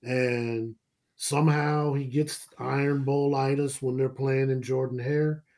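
A man's voice: medium pitch, level tone, measured pace, darkish tone. A man, chatting casually about sports, rambling and laughing often. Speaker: podcast guest.

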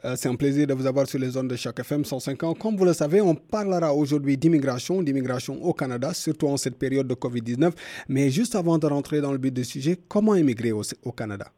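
Guy hosting a radio show, warm dark voice, dry close sound. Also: medium pitch, no echo. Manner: formal, slightly halting. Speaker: radio host.

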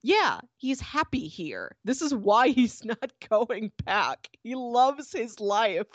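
A woman's voice: fairly high pitch, sing-song, takes it slowly, no echo. A woman hosting a podcast, chatting casually and enthusiastically as she reviews a TV show. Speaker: podcast host.

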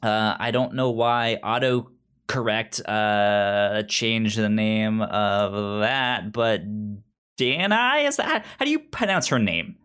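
A man co-hosting a casual pop culture podcast, speaking haltingly and often stammering over words. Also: on the low side, lively intonation, fairly bright tone, clear, resonant tone. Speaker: podcast co-host.

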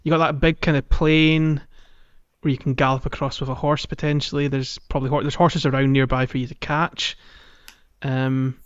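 A man co-hosting a podcast, chatting casually about video games in a halting, rambling way. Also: mid-range voice, level tone, clean tone, highs cut off. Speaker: podcast co-host. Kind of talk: casual video game playalong chat.

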